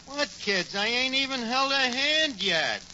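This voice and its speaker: An actor playing a wisecracking tavern manager with a New York accent and slangy, mock-grand speech in old radio comedy. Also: male voice, very high voice, animated, measured pace, sharp tone, exaggerated voice. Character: scheming, wisecracking male tavern manager, malaprop-prone. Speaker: sitcom lead. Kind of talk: 1940s radio comedy acting. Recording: noisy, dull 1940s radio recording.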